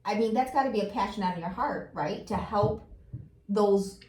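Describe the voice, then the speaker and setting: A woman's voice: mid-range voice, moderate pace, a little mellow, slight background noise. A woman hosting a casual talk show, bubbly and chatty, laughing often. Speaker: host. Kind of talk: casual chat show interview. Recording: echoey room.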